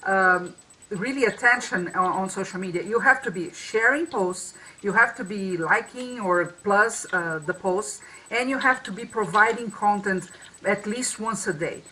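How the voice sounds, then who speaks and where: A woman's voice: medium pitch, unhurried, sharp tone, rough recording. A woman co-hosting a marketing talk over a video call, with a strong voice and a loose, restarting way of speaking. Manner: many restarts and fillers. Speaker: co-host. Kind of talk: casual webinar talk.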